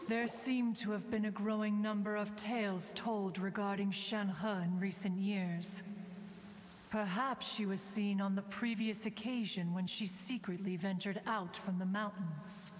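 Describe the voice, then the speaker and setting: A woman's voice: medium pitch, fairly even intonation, unhurried, close to an everyday voice. A woman voicing an ancient, dignified female immortal in a video game, formal, stately and aloof. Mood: musing. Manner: formal, stately, refers to self as one. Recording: long hall reverb, hiss.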